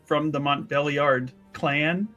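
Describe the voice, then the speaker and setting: A man playing a tabletop roleplaying game, narrating his character in halting, deliberate, solemn phrases. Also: fairly high pitch, steady tone, fairly bright tone, muffled recording, soft background music.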